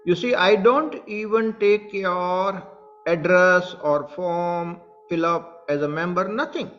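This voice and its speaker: A man's voice: sits high, takes it slowly, a bit bright, highs cut off. A man with an Indian English accent, a spiritual teacher speaking earnestly to online followers, repeating his points and addressing them directly. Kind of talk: satsang talk to online followers.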